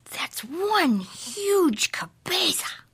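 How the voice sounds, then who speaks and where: A woman's voice: very high voice, sing-song, very slow, a bit bright, no echo, clean studio sound, pitched above the performer's own voice, exaggerated voice. A woman voicing a boastful, imaginative little boy kitten in a storybook, with dramatic whispery exclamations. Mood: breathy wonder.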